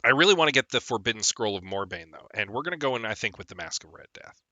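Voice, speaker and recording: A man's voice: mid-range voice, lively intonation, quick pace, bright, forceful tone, no echo. A young man chatting casually while streaming a game, rambling with lots of ums, a bit phlegmy from a lingering cold. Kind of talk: casual gameplay stream chat.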